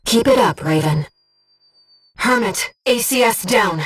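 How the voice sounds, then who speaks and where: A woman voicing a gentle, disembodied young female companion in a video game, giving a mercenary pilot composed, earnest and precise tactical reports in a soft, breathy voice. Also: fairly high pitch, lively intonation, a little slow, recorded from a distance, faint music behind. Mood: calm, focused. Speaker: mission operator companion.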